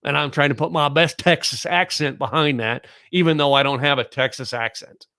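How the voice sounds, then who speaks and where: A man's voice: a little high, normal speed, clear, resonant tone, no room sound, clean studio sound. A man hosting a podcast, delivering a blunt, emphatic and preachy monologue straight to camera. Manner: blunt, emphatic, preachy, repeats "ladies and gentlemen". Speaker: podcast host. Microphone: recorded on camera.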